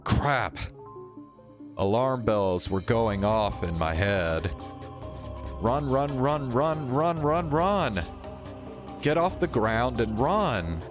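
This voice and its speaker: A man's voice: medium pitch, big pitch swings, very slow, pauses often. A man doing casual game commentary and reading visual novel text aloud. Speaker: game streamer. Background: game music.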